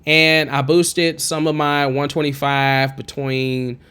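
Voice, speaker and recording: Chatty man with a bright twangy tenor. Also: on the high side, flat delivery, takes it slowly, clean tone. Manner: chatty, encouraging, digresses. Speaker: music production tutor. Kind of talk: casual screen-recorded tutorial. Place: home studio with green screen. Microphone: studio condenser mic with pop filter, wearing headphones.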